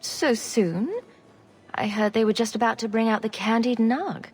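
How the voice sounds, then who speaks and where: A woman's voice: fairly high pitch, moderate pace, a little mellow, slightly rough, soft background music. An actress playing a confident female adventurer in a video game, with a dry, teasing, sardonic manner. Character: confident, dryly witty female champion adventurer.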